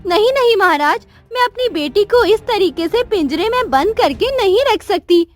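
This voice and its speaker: A woman voicing a cruel, haughty young queen in a cartoon story, talking in a sharp, scolding way. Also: very high-pitched, lively intonation, moderate pace, soft background music, voice pushed up. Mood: pleading.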